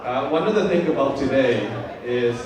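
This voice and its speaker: A Vietnamese-born man in his fifties, whose first language is Vietnamese, gives a halting, filler-heavy birthday speech to guests at a party. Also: mid-range voice. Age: 50s. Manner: halting, many fillers and restarts. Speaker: birthday host giving a speech. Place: large room at a party. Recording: echoey party room, mic far off. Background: crowd of guests.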